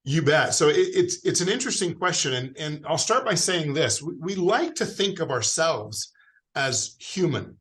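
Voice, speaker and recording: A man's voice: a little high, very animated, moderate pace, fairly bright tone. A man with an American accent, a guest expert on a video call, explaining in a friendly way with frequent fillers. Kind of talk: explanatory interview answers.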